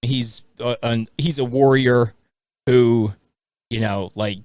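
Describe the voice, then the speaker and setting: A man's voice: mid-range voice, steady tone, slow pace, darkish tone, no echo. A man talking casually as a co-host in a podcast discussion. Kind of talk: casual chat.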